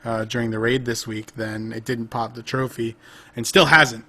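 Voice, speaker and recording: A man's voice: mid-range voice, steady tone, normal speed, sharp tone. A man co-hosting a podcast, chatting casually about games over a remote call, rambling with lots of fillers and restarts. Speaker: podcast co-host. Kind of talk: casual gaming chat.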